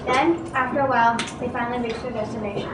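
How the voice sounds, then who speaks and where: A woman's voice: fairly high pitch, steady tone, fast. A woman telling a story as a narrator in an amateur readers theatre performance. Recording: loud background noise, echoey room, distant mic.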